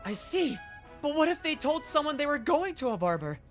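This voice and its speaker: Woman playing a very high-pitched earnest young officer. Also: sing-song, fairly quick. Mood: puzzled. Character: earnest, excitable young police officer, righteous and exasperated. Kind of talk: anime fan dub acting. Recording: voice over background music.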